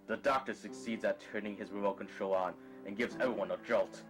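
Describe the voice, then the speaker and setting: Low-pitched male story narrator over music. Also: fairly even intonation, brisk. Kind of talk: scripted present-tense story narration.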